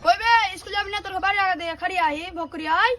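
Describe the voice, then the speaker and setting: A small, mocking villager from a comedy cartoon. The voice is extremely high and races along, with loud noise all through the recording. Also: rapid-fire. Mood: nagging. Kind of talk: cartoon comedy skit dialogue.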